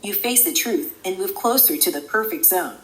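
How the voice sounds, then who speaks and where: A woman playing the calm, ominous, enigmatic guide of a virtual simulation in a radio drama, speaking in a measured, solemn way. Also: medium pitch, noisy background, close to an everyday voice.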